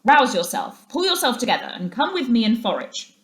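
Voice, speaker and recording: A woman's voice: on the high side, very animated, fairly quick, a bit bright. Lady doing a jolly bossy storybook rat chap, hearty, bustling, voice lifted a bit. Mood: reproachful, rousing. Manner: hearty, bustling, old-fashioned English phrasing. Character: cheery, capable, bossy male water rat, good-hearted friend.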